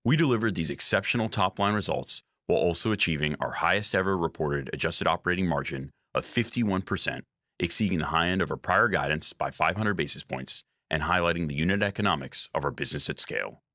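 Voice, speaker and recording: Very low-voiced man reading financial results. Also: lively intonation, sharp tone, dry recording, clean studio sound. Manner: formal, measured reading of figures. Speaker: company executive presenting financial results. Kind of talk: scripted earnings call presentation.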